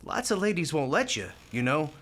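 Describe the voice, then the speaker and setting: A man's voice: on the high side, very animated, normal speed, a bit bright. An actor playing a cheerful, kindhearted male hospital volunteer in a radio play, folksy, playful and talkative. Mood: warm, chatty.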